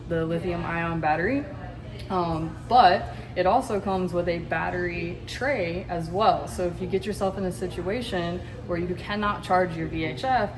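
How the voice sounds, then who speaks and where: A woman with a fairly low voice presents products in an informal, instructional way. She is plain-spoken, and there is clear background noise around her. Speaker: boating store product presenter. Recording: clearly noisy background ambience.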